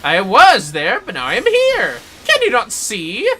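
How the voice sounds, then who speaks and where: A woman's voice: fairly high pitch, huge pitch swings, unhurried, fairly bright tone, exaggerated voice. A woman voicing a flamboyant male shinobi villain from a game, gleefully mocking, grandiose and theatrical in manner. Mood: theatrical, impatient. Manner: grandiose, taunting, talks in third person. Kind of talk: theatrical game dialogue read aloud.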